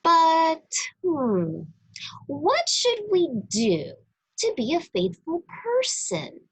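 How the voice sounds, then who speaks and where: A woman reading a religious children's book aloud, teaching deliberately and expressively and speaking directly to young listeners. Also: on the high side, creaky, breathy edge, poor audio. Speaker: storybook reader for a church.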